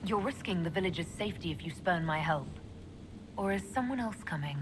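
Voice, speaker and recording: An actress voicing a sharp, cold elf mage who leads an order and speaks curtly and with authority. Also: female voice, lowish voice, expressive, background noise, lightly acted. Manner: curt, brisk, authoritative. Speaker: Inquisitor, player character.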